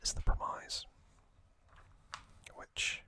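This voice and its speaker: A man whispers in a breathy, entirely hushed ASMR style. His delivery is very slow, gentle and deliberate, with a crisp, sharply bright hiss to the whisper. He sounds like a host guiding listeners through trivia.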